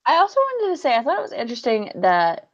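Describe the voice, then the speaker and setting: A woman's voice: a little high, wildly swooping pitch, quick pace. A woman in casual conversation, agreeable and chiming in informally. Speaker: book discussion panelist. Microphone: video call. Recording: dull lo-fi online call audio.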